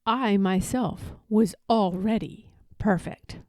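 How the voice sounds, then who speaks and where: A woman reading a children's picture book aloud as an expressive, deliberate storyteller. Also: medium pitch, dry recording.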